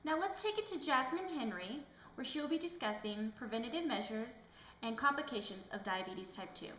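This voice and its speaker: A woman's voice: fairly high pitch, expressive, fairly quick, bright, forceful tone. A woman playing a polite, curious woman who presents and asks questions in a scripted health education skit. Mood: presenting. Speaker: presenter and patient in a health education puppet show. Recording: roomy echo with faint background noise.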